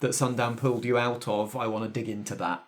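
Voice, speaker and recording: A man's voice: mid-range voice, fairly even intonation, a little fast. Man guiding a tabletop game. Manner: explanatory, guiding with questions. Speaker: game master. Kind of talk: casual tabletop game facilitation.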